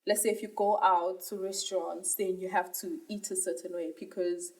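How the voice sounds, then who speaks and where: A woman's voice: fairly low pitch, little pitch movement, moderate pace, darkish tone, poor audio. A woman explaining her view in an interview. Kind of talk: documentary interview.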